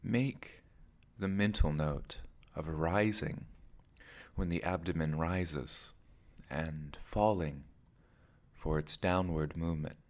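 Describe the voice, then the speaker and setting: Male meditation guide, very low-pitched, unhurried and slow, deliberate phrasing with pauses. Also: a little mellow. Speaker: meditation teacher.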